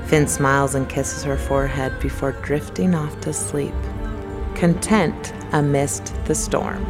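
A woman's voice: low-pitched, fairly even intonation, measured pace, over music. A woman giving scripted dramatic narration as the narrator of a radio play.

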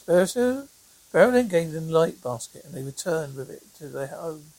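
A reader narrating a folk tale aloud in a halting amateur way, stumbling over words, with a husky, creaky voice. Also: medium pitch, very animated, darkish tone, no room sound, rough recording. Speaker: storyteller reading a fairy tale.

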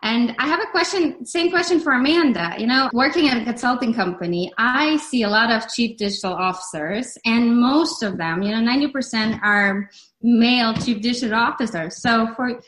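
A woman's voice: fairly high pitch, normal speed, fairly bright tone, low-quality recording. A woman moderating an online panel discussion, enthusiastic and repeating her words of praise.

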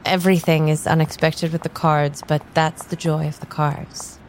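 A woman playing an easygoing, low-pitched tarot reader. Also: level tone, moderate pace, slight background noise. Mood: amused, hesitant. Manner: hesitant, restarting, agreeable. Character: easygoing, slightly flustered female tarot reader. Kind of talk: improvised audio drama dialogue.

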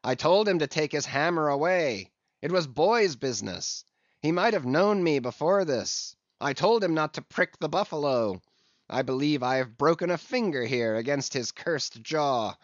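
A man's voice: fairly high pitch, animated, moderate pace, no echo, voice pushed up, close to an everyday voice. A man voicing a defiant, blunt sailor who leads a mutiny, in a dull, dry recording.

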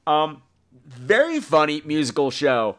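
A man talks casually as a solo podcast host. His voice sits a little high and swings up and down a lot. He rambles in a campy, bawdy, self-mocking manner. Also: very animated, unhurried, fairly bright tone. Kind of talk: casual rambling solo podcast talk about shows and films.

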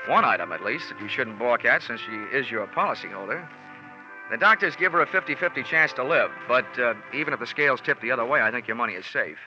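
Guy acting a wry 40s radio private eye, deadpan narration, old muffled recording w music under it. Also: medium pitch, natural voice. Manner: deadpan, sardonic. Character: wry hard-boiled male freelance insurance investigator.

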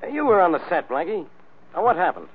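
An actor playing a gruff, exasperated, slow-witted police inspector who blusters bossily in an old-time radio detective drama. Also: male voice, sits high, sing-song, phone-quality sound, a little hiss or hum. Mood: baffled, irritated. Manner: gruff, indignant, bossy. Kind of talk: 1940s radio detective acting.

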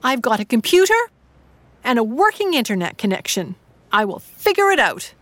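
Actress as genteel middle-aged lady, high voice, very animated intonation, precise, sceptical, dry wit, audio drama. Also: moderate pace, no room sound. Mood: firm, arch. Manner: formal, precise, dryly pointed.

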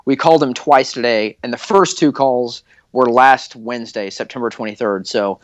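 A man co-hosting a comedy podcast, chatting casually in an excitable, giggly way. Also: mid-range voice, normal speed. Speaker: podcast co-host. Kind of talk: casual chat about prank calls.

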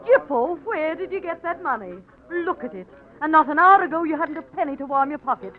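A woman's voice: high voice, lively intonation, a little fast. An actress playing a poor, fond Irish woman with an Irish accent, pleading and teasing her man, in a 1940s radio drama. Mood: probing. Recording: tinny narrow old radio broadcast.

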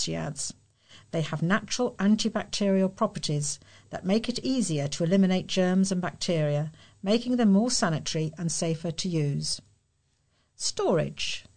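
A woman's voice: fairly low pitch, darkish tone. A woman with a British accent reading a scripted article aloud as a volunteer news reader.

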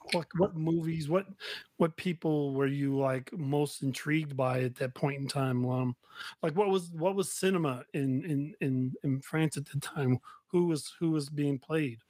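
A man hosting a casual interview podcast, halting as he repeats and rephrases his questions. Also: on the high side, darkish tone, no echo. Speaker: podcast host.

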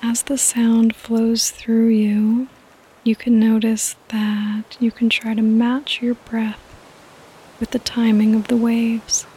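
A woman gently guiding a sleep meditation as a podcast host, in a soothing, breathy voice that often nears a whisper. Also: on the high side, little pitch movement, takes it slowly, poor audio. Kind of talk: soft-spoken guided sleep meditation.

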